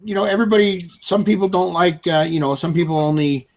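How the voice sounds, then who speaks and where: A man's voice: high-pitched, fast talker, low-quality recording. A man with a chest cold co-hosting a live stream over a video call, chatty and upbeat with lots of fillers. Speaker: co-host. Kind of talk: casual live-stream chat.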